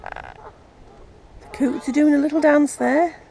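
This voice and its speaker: An English woman chatting casually and gently as she narrates a nature vlog outdoors. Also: high voice, slow pace, gravelly. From England. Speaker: vlogger. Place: outdoors in an urban park. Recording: outdoor ambient noise, birdsong. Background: birds and park ambience.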